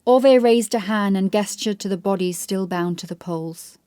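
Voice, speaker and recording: A woman reading scripted literary fiction as an audiobook narrator, in a measured, serious way. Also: mid-range voice, warm tone, smooth, no room sound, studio quality.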